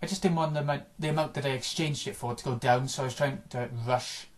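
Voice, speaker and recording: A man chatting casually about video games, rambling and offhand, his voice a little hoarse after a day of recording. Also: medium pitch, quick pace. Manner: rambling, offhand, frequent restarts and small chuckles. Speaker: gaming vlogger.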